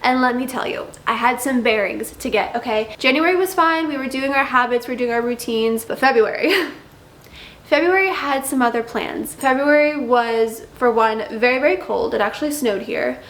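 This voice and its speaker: A woman's voice: fairly high pitch, a bit bright, roomy sound. Bubbly young woman, slightly nasal. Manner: bubbly, chatty, drawn-out emphasis. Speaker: lifestyle vlogger. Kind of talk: chatty vlog talk to camera.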